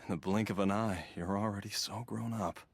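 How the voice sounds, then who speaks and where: A man's voice: fairly low pitch, steady tone, fairly quick, a little hiss or hum, natural voice. An actor voicing a weary, ailing, tender father in a video game. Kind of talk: English video game voice acting.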